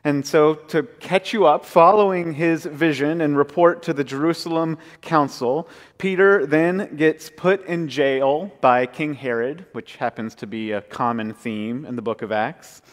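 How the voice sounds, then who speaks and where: A man in his thirties, a pastor, preaching a sermon from the pulpit and retelling scripture in a measured storytelling way. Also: a little high. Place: church sanctuary, large, indoors. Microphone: pulpit mic, far camera. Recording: long church reverb.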